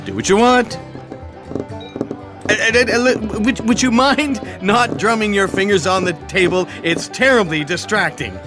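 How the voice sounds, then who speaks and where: A man's voice: sits high, unhurried. A man playing a glib, squeamish shapeshifting alien in a comedic audio drama, chatty and fussy. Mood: disdainful, fussy. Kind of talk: comedic audio theatre acting. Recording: music behind the voice.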